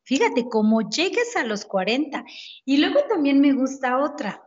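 A woman's voice: high-pitched, big pitch swings, moderate pace. Woman host, chatty, dull lo-fi sound. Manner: conversational, laughing asides, frequent "ujú" backchannels. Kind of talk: casual wellness chat. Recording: dull lo-fi audio.

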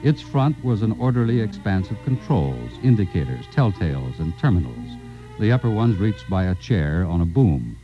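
An actor as the wry, theatrical narrator of a 1950s science fiction radio drama. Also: male voice, deep voice, big pitch swings, normal speed. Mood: dramatic, measured. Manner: emphatic, theatrical storytelling. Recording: dull vintage radio broadcast recording. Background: music.

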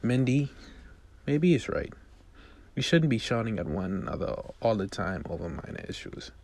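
A man's voice: lowish voice, big pitch swings, moderate pace, a little mellow. A man voicing a mild, encouraging father in a reading of a movie script. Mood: conciliatory. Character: mild, proud, encouraging father of a teenage son.

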